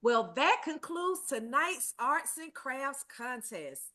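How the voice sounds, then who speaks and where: Cheerful small-town aunt and event hostess played by a woman, high lively voice, unhurried delivery. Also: full character voice. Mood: festive, playful. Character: cheerful Aunt Mary, town contest hostess, playful and folksy. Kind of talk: table read acting.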